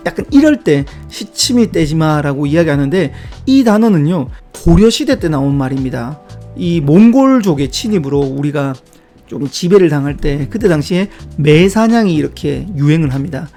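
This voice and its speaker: Brief: man, middle-aged, warm mellow tone, relaxed chatty explainer, soft music bed underneath. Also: on the high side, normal speed. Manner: chatty, reflective asides and small self-deprecating jokes. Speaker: book-review video host. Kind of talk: casual explanatory talk about word origins.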